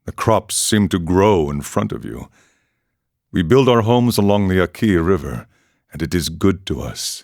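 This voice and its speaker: A weathered warrior monk, with a very low voice and a close, dry sound. He is calm and ascetic, setting out his philosophy in measured, solemn video game dialogue. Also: no echo, full character voice. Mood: calm, musing. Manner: measured, solemn, aphoristic storytelling.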